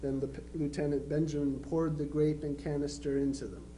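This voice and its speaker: A man playing a young Civil War soldier son, reading letters home in a plain, measured, dutiful way. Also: fairly high pitch, little pitch movement, lightly acted. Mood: earnest, factual. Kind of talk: dramatized reading of historical letters. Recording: noisy live recording with hum.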